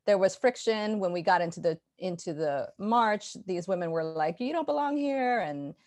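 Ny woman chatting on a video call, animated, lots of laughs, lowish voice. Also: normal speed. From New York, USA. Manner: animated, laughing often, restarts. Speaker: guest artist. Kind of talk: casual interview chat.

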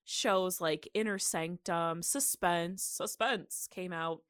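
Low-voiced young woman chatting casually. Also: takes it slowly, a bit bright, clear, resonant tone, dry recording, studio quality. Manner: rambling, heavy use of "like". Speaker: podcast host. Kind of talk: casual podcast chat.

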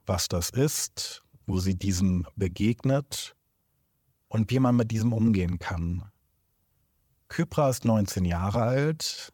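A man with a lowish voice hosting a podcast interview in a room indoors. He talks in a measured, formal manner, welcoming and introducing his guest. The recording is dry. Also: darkish tone, no room sound. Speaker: podcast host.

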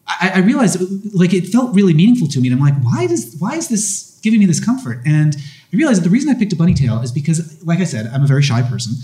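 Male panel guest, mellow tone, candid, a bit halting; recorded live on a roomy convention stage. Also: medium pitch. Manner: candid, self-deprecating, halting with restarts. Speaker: guest podcaster.

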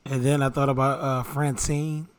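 A man's voice: medium pitch, flat delivery, normal speed, a little hiss or hum. A man co-hosting a podcast, talking in casual, laid-back banter and laughing often. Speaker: podcast co-host.

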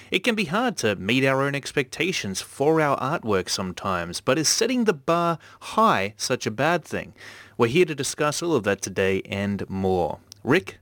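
A man's voice: medium pitch, fairly bright tone, no echo. Dry-voiced male podcast host. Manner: talkative, reflective, many fillers. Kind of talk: casual podcast chat.

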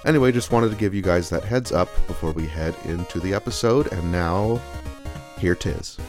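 A man's voice: low voice, normal speed, music in the background. A man giving a casual spoken introduction as a podcast host.

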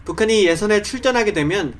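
A man's voice: high voice, lively intonation, fast talker. A man hosting a news program and asking an interviewee questions in a formal tone.

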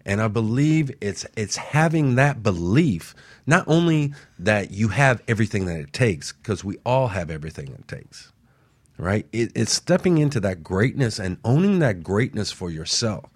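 A man with a very low, husky voice hosts a motivational radio talk show. He speaks with lively rises and falls, pressing his points home with emphatic repetition. The sound is dry and close. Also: normal speed, dry recording. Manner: preachy, emphatic, repeats phrases.

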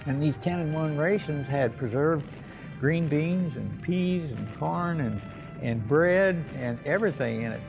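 Elderly American male veteran, oral-history storyteller, plain-spoken and folksy, with a gravelly edge over a music bed. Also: on the high side, lively intonation, a little slow, poor audio. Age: older. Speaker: World War II veteran recounting memories. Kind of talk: unscripted oral-history reminiscence.

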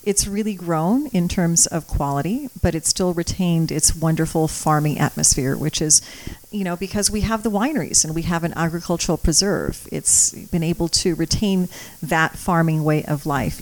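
A woman's voice: deep voice, moderate pace, a little mellow, poor audio. A middle-aged American woman, a native Croatian speaker, chatting as a guest in a podcast interview. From Napa Valley, California, US. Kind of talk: conversational interview. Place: office.

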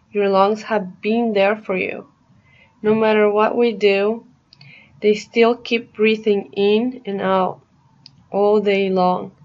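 A woman narrating a guided meditation in a soothing, deliberate manner, pausing between short phrases. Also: medium pitch, muffled recording.

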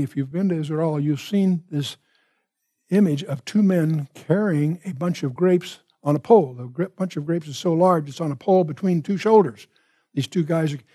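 An older man gives an expository Bible lesson. His voice is husky and mellow, pitched a little high for a man. He explains and restates in a measured way, recorded close and dry. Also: no echo. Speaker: Bible teacher. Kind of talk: expository Bible teaching with verse readings.

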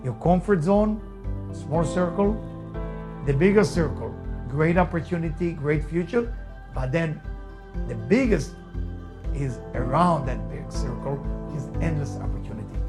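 A man's voice: on the high side, unhurried, music in the background. Urging Israeli man, motivational talk. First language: Hebrew. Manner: emphatic, repetitive, urging. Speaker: motivational speaker.

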